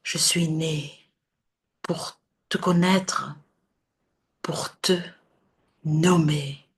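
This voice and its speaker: A woman recites verse with solemn, dramatic care. Her voice sits somewhat low and is husky with breath, often sinking close to a whisper. She moves extremely slowly, setting down short phrases one by one with long pauses between them. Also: fairly even intonation. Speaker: poetry reciter.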